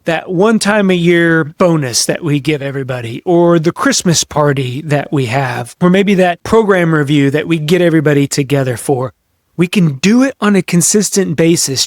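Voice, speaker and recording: Higher-pitched man hosting a leadership talk. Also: no room sound, studio quality. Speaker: podcast host, leadership coach. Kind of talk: practical business advice talk to camera or mic.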